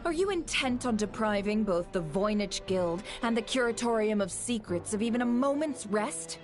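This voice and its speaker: A woman's voice: medium pitch, lively intonation, normal speed, faint music behind, lightly acted. An actress playing a haughty, witty, imperious lady in an anime game, speaking formally with theatrical scorn. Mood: scornful.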